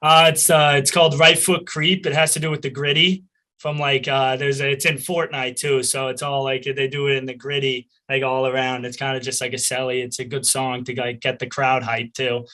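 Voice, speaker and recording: A man's voice: mid-range voice, flat delivery, moderate pace, pushed and bright. Laid-back young man with a Boston accent. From Boston area. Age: young adult. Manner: laid-back, rambling, with many likes and laughs. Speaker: college lacrosse player, guest. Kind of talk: casual interview answers.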